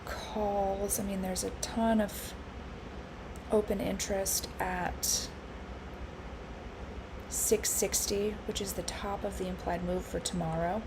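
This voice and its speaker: A woman live-streaming her futures trading, congested and hoarse from allergies, thinking aloud with lots of fillers and restarts. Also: mid-range voice, flat delivery, slow pace, pauses often, noisy background. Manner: musing, with frequent fillers and restarts.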